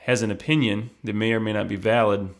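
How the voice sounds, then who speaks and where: A man's voice: on the low side, steady tone, fast talker. A man hosting a hunting show interview, asking long rambling questions full of fillers. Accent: Michigan. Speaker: podcast host interviewer. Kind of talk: conversational interview.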